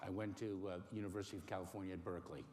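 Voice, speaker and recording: A middle-aged man born in Cuba, giving careful, halting interview answers with many pauses and fillers. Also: sits low, little pitch movement, darkish tone. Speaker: interview guest. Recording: faint noise in a long-reverb room.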